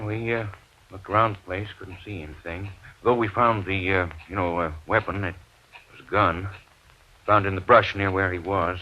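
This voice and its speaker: Hesitant folksy lawman in vintage radio drama. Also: low voice. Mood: halting, reluctant. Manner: hesitant, stammering, repeating "you know". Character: folksy, bumbling but shrewd small-town sheriff's officer. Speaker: actor in a radio mystery. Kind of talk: 1940s-50s radio drama acting. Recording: dull vintage radio broadcast recording.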